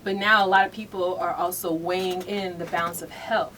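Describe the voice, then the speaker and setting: A woman's voice: lowish voice, fairly even intonation, brisk, fairly bright tone. A woman speaking as an interview guest, explaining things in an earnest, emphatic way. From Nigerian background. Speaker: interview guest, hair care company founder. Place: radio studio. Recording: noisy room, distant mic.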